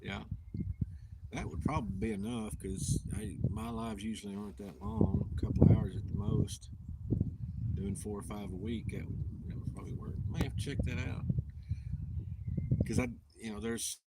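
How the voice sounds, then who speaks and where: A man from Tennessee with a Southern accent, hosting a live outdoors stream in a halting, rambling, self-deprecating way with lots of restarts. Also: fairly low pitch, expressive, vocal fry, heavy background noise. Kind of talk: casual live-stream chat.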